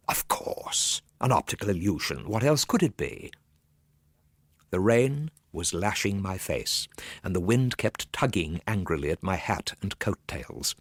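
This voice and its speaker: An actor playing an overwrought, gloomy minor civil servant in a radio ghost story, theatrical and suspenseful. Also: male voice, low-pitched, breathy edge, no echo, clean studio sound, exaggerated voice. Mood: shaken self-reassurance. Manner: theatrical, suspenseful, dropping at times to hushed asides. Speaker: actor narrating a radio drama. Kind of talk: dramatic first-person ghost story narration for radio.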